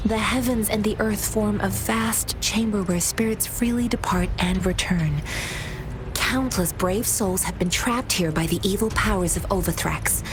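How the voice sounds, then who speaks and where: A woman acting as a gentle young game heroine, a solemn disciple who speaks slowly and reverently. Music plays behind her polite, careful words. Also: medium pitch, natural voice, rough recording. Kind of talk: scripted video game dialogue.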